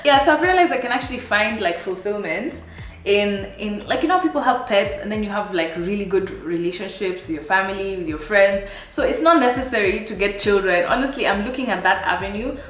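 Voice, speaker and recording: A woman in her thirties with a Kenyan accent, chatting casually to her viewers in a life-update vlog, animated and laughing often. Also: medium pitch, brisk, echoey room. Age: 30s. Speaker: vlogger.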